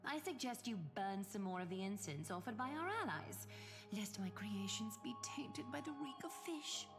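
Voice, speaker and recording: A haughty, put-upon noblewoman in a video game, voiced by a woman. She complains archly with animated intonation and obvious disdain. Clear music plays behind her. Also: medium pitch, moderate pace, close to an everyday voice. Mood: disdainful. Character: haughty, exasperated fashion-making noblewoman colleague. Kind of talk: scripted video game voice acting.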